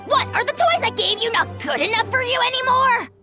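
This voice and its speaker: A squeaky, high little dragon spirit, huffy and blustering, his pitch leaping around as he takes offense. He sounds like an offended old grump in a tiny body. Game music plays clearly behind. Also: animated, fairly quick. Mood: indignant. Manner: bratty, indignant, blustering. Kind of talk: video game voice acting. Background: game music in the background.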